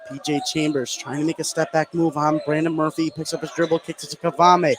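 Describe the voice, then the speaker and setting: A man's voice: a little high, level tone, fast, background noise. An American man doing live play-by-play basketball commentary on radio.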